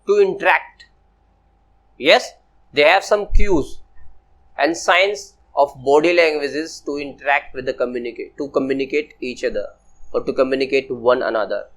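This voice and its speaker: 男性教师，印度英语口音，在教室里讲课，声音偏高. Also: male voice, fairly high pitch, fairly bright tone. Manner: slow, repetitive, often asks "Clear?". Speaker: personality development teacher. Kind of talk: classroom lecture to students.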